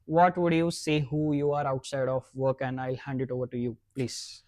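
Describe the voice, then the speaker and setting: A man's voice: mid-range voice, flat delivery, normal speed, darkish tone, no room sound, clean studio sound. A man hosting a podcast in an informal, meandering, chatty way. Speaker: podcast host. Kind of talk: casual interview chat with a scene-setting introduction.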